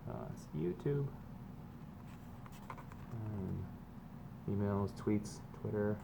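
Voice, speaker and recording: A man's voice: on the low side, expressive, drawn-out words. A man reading a children's book aloud like a teacher, mixing emphatic, sing-song lines with casual explanations for students. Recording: clear background noise.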